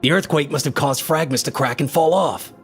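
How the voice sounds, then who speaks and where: A man's voice: a little high, a little fast, natural voice. A man playing an anxious, loyal friend in a radio horror drama, with earnest, worried, dramatic delivery. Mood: uneasy. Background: faint music.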